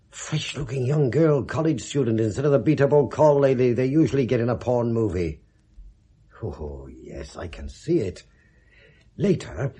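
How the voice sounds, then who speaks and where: Husky, cynical English investigator. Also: male voice, mid-range voice, warm tone. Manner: musing, sardonic, deliberate emphasis. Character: cynical, shrewd English investigator hungry for justice. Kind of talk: 1970s British radio drama acting.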